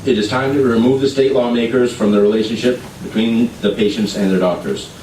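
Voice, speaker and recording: A man representing an advocacy group, reading prepared testimony in a measured, formal way at a legislative hearing. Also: mid-range voice, steady tone, a little mellow, slight background noise. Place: legislative committee hearing room. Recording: echoey hearing room, distant mic.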